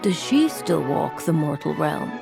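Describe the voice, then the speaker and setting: A woman's voice: deep voice, very animated, a little slow, vocal fry, full character voice. A woman voicing a grave, formal witch in a video game. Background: music.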